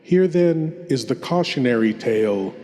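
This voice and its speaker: Slow, portentous man in long reverb. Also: medium pitch, animated, warm tone. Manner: portentous, deliberate, dramatic pauses. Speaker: horror show host. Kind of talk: theatrical scripted horror narration.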